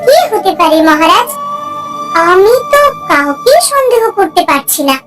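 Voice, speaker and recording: A woman voicing a gentle young queen who speaks calmly and soothingly. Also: very high voice, a little slow, loud music behind the voice, full character voice. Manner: calm, soothing and attentive.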